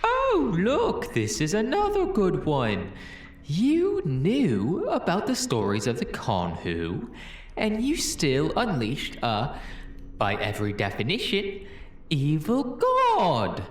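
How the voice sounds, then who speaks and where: A bitter, mocking voice inside someone's head, acted out for a fan audio drama, swooping widely in pitch with sarcastic theatrical flourishes. It rings in a roomy echo. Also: female voice, huge pitch swings, slow pace, heavily acted. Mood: scornful. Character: bitter, mocking inner voice of an erased time-traveling Doctor.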